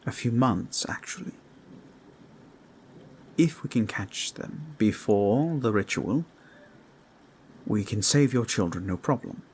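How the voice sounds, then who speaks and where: A man voicing a calm, scholarly fantasy adventurer, explaining things formally and deliberately in a roleplay audio drama. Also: fairly low pitch, a little hiss or hum. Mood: calm, reassuring.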